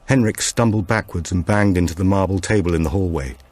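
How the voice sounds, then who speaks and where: Very deep-voiced man narrating a thriller. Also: brisk, darkish tone. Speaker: audiobook narrator. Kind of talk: scripted narration of a suspense thriller.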